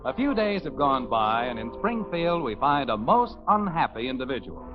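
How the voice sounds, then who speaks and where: 50s radio narrator guy, american, lively intonation, scripted comedy intro, dull old sound w/ faint music. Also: mid-range voice, moderate pace. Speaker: announcer-narrator. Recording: muffled 1950s radio recording, faint music.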